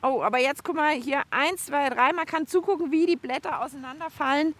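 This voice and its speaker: A woman's voice: high voice, a little fast, a bit bright. A woman hosting a children's radio show outdoors in a park, chatting casually in a cheerful, wry way and thinking aloud. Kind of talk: casual on-location radio chat.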